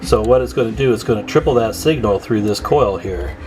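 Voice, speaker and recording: A man's voice: on the low side, brisk, over music. Man casually talking through hands-on repair. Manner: informal, thinking aloud while working. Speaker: radio repair technician, video host.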